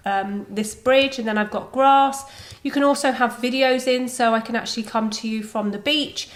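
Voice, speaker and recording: A woman with a mid-range voice presents a software tutorial. She explains each step in a conversational, informal way, as a presenter talking directly to viewers. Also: medium pitch.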